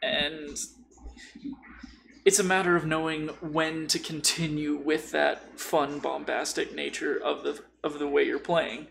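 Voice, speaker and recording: A man chatting casually on a podcast about games, telling stories in an informal, animated, sweary way. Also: fairly high pitch, a little slow, gravelly, a little hiss or hum, low-quality recording. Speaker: podcast host or guest discussing tabletop games. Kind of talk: casual chat.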